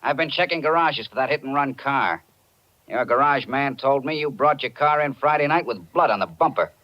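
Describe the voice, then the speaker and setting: An actor playing a blunt, suspicious American police detective, brisk and accusing in 1940s radio style. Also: male voice, mid-range voice, expressive, close to an everyday voice. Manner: brisk, accusing, hard-nosed. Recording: muffled 1940s radio recording.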